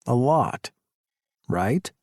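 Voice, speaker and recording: Man voicing a gentle, calm grown man, mellow voice, dry sound. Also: medium pitch, very slow, dry recording, full character voice. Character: calm, patient adult male friend.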